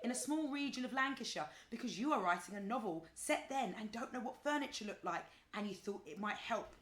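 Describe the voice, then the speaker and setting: A sarcastic office colleague, fed up and wordy, slightly high voice, echoey room. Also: female voice, expressive, a little fast, fairly bright tone, slight background noise. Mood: withering. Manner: sardonic, wordy, withering. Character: exasperated, sarcastic, sweary female office colleague. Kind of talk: naturalistic audio drama acting.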